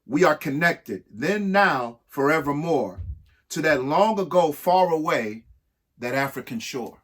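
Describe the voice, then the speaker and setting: A husky-voiced man reading a rhyming picture book aloud expressively, emphatic, with pauses between short phrases. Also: on the high side, animated, a bit bright. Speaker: reader of a children's picture book. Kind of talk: expressive read-aloud of a rhyming alphabet book with spoken asides.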